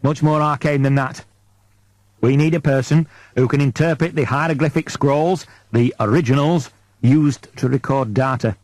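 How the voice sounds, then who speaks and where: A man voicing a solemn, gently grave priest who speaks in a measured, formal, apologetic way in a science fiction audiobook. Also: mid-range voice, dry recording, natural voice, poor audio. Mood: earnest.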